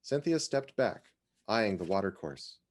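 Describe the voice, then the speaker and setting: A man reads literary fiction aloud in a lowish voice. His phrasing is deliberate and dramatic, with very lively rises and falls in pitch.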